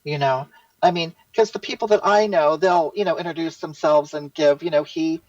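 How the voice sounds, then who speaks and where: A performer voicing a self-styled female social justice activist who rambles, repeats words and jumps high in pitch while answering interview questions. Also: moderate pace, lo-fi sound, natural voice. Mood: earnest explaining. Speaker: guest being interviewed.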